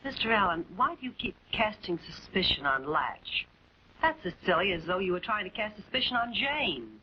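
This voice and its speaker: A woman's voice: medium pitch, very animated, normal speed, natural voice. An actress playing an indignant, protective woman who protests in a 1940s television crime drama. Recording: dull, noisy 1940s film soundtrack.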